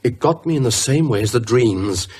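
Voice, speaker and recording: A rattled english professor, husky, hesitant, voice swings up and down, horror radio play. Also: male voice, medium pitch, expressive, a little mellow. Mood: haunted, tense. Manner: hesitant, troubled, halting. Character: anxious, haunted male professor, fearing for his sanity. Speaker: actor. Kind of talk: British radio horror drama acting.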